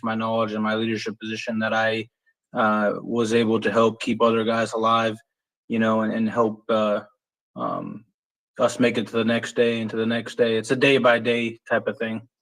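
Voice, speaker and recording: A man who is a military veteran fighting in Ukraine, giving a halting, plainspoken personal account with casual swearing. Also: lowish voice, fairly even intonation, fairly bright tone, poor audio. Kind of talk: personal account in a live audio chat.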